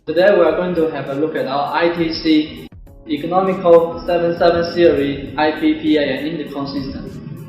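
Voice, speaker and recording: A man, giving a scripted product demonstration. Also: fairly high pitch. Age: 20s. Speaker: product presenter. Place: bare indoor office or classroom. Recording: very echoey room, music behind.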